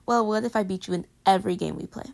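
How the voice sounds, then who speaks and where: A woman playing an affectionate, teasing girlfriend with a hidden insecurity, in intimate pillow-talk roleplay audio, often slipping into breathy whispers. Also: medium pitch, fast, darkish tone, natural voice. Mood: playful, teasing.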